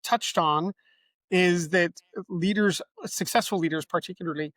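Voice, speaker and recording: A man's voice: sits high, a little slow, fairly bright tone, no room sound. A male podcast host interviewing a guest, friendly and curious, asking questions with some hesitations. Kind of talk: interview hosting.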